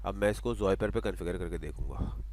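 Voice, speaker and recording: Low-pitched man presenting a software tutorial. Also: fairly quick. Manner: code-switches into English technical terms. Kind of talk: casual software tutorial narration, talking through steps. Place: voice-over for screen recording.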